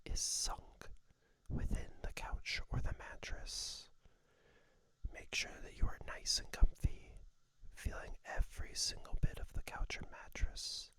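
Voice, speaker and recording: A person whispering a guided meditation and body scan for ASMR, soft and breathy, with gentle, soothing instructions. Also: a little slow. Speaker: ASMR host guiding a meditation.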